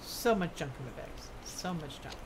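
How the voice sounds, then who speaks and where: Female game streamer, lowish voice, casual and musing, as if thinking aloud; noisy game ambience behind. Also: lively intonation, moderate pace. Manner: musing, half talking to herself. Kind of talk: casual live gameplay commentary.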